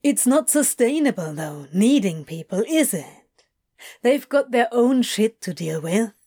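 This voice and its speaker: Awkward stammering demon man, voiced by a woman, slow and hesitant. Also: on the high side, sing-song, dark tone, exaggerated voice. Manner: halting, mumbling, stammering. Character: lanky laid-back male demon, awkward.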